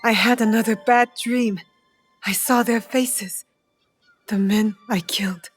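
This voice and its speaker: An earnest young shinobi. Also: female voice, a little high, steady tone, slow pace, breathy edge, faint music behind. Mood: haunted. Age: young adult. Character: earnest, guilt-burdened young female shinobi, dutiful daughter. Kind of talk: dramatic video game cutscene acting.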